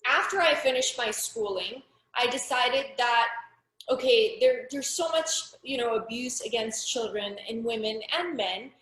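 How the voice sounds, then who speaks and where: A woman's voice: on the high side, unhurried, a bit bright. Earnest woman in an echoey room. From Pakistan. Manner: earnest, frank, with many restarts and fillers. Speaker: interview guest, activist. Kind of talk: personal testimony in an interview.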